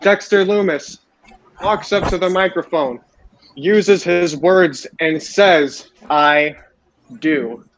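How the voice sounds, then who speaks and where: Muffled, higher-voiced man hosting a podcast. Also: slow, a little hiss or hum. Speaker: podcast host. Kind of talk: casual wrestling show recap. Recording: dull lo-fi microphone.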